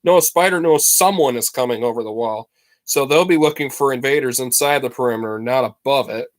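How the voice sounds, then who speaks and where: A man's voice: mid-range voice, a little fast, natural voice. A man voicing a bold, bossy teenage girl spy in a storybook, speaking in a curt, commanding way. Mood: urgent, curt. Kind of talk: storybook character voice.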